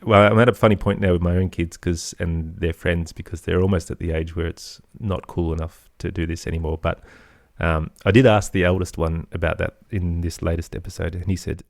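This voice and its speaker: A man's voice: deep voice, fairly even intonation, fairly quick, vocal fry, hardly any pauses, dry recording, clean studio sound. A middle-aged man talking casually as an interview guest, in a hesitant, self-effacing, rambling way. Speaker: podcast guest, audio storyteller and producer.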